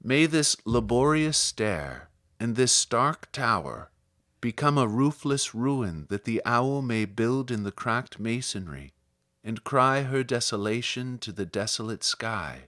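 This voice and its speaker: Slow, measured man reciting poetry. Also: mid-range voice, lively intonation, fairly bright tone, dry recording, clean studio sound. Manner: measured, solemn delivery with deliberate phrasing. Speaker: poetry reader.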